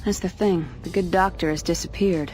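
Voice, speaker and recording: Low-voiced, businesslike female agent played by an actress. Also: fairly even intonation, moderate pace, a little mellow, soft background music, natural voice, low-quality recording. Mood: brisk, commanding. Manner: businesslike, in control. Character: brisk, shrewd, commanding female agent. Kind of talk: video game cutscene acting.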